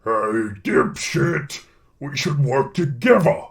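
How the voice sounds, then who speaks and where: A man voicing a menacing, sardonic symbiote creature with a gravelly growl. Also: fairly high pitch, animated, slow pace, recorded from a distance, exaggerated voice. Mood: scornful.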